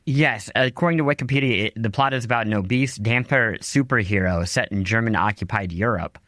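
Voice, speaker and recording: A man's voice: on the low side, fairly quick, bright, forceful tone, dry recording, clean studio sound. A man hosting a conversational interview podcast, speaking in an articulate, wry way.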